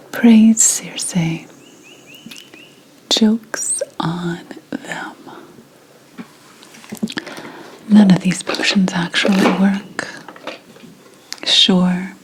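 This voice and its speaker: A woman playing a mystical, brooding 17th century witch healer in a breathy, whispered ASMR roleplay, telling things solemnly with deliberate pauses. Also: medium pitch, a little hiss or hum, rough recording. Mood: wry, then grave, brooding.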